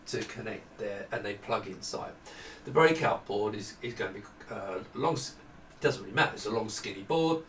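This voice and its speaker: A man's voice: mid-range voice, fairly bright tone. A middle-aged man giving an informal, unscripted product walkthrough, explaining as he goes and often breaking off to rephrase. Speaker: presenter demonstrating hardware prototypes. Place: small indoor workroom with desk. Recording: echoey room, distant mic, faint noise.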